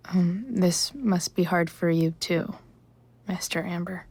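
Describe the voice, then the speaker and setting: A woman's voice: fairly low pitch, darkish tone, slightly rough, heavily acted. An actress playing a concerned, questioning teenage girl in a video game drama, speaking naturally in a tentative, probing way.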